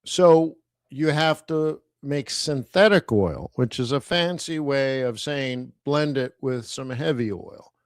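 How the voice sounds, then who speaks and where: A man's voice: medium pitch, slow, no echo, clean studio sound. A middle-aged Canadian man hosting a live stream, chatting casually and throwing rhetorical questions at his viewers. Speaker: host of a live trading stream.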